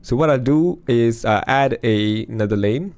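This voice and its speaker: A man losing his voice, chatting casually through a music software demo over a screen recording. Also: mid-range voice, moderate pace. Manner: chatty, thinks aloud with fillers. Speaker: music production youtuber.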